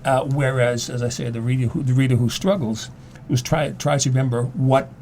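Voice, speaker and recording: Guy chatting as a guest, soft mellow tone, keeps restarting words, some steady noise behind him. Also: mid-range voice. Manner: halting, repeats words while explaining. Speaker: guest, former English teacher. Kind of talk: casual discussion.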